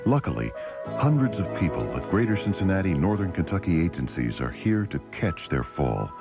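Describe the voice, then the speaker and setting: Low-voiced male charity ad announcer. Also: sing-song, moderate pace, over music. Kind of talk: scripted charity ad narration. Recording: taped 1980s radio broadcast.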